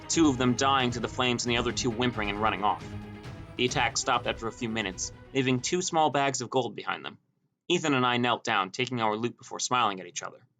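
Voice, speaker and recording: A man's voice: medium pitch, sharp tone. A man reading a scripted first-person story as an audiobook narrator.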